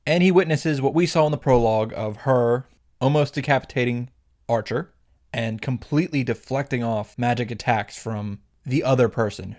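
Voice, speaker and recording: A man's voice: medium pitch, darkish tone. A man giving casual, scripted commentary as a video creator, wry and joking with sarcastic asides and some swearing. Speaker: video creator recapping and reacting to a game. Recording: music behind the voice. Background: background music.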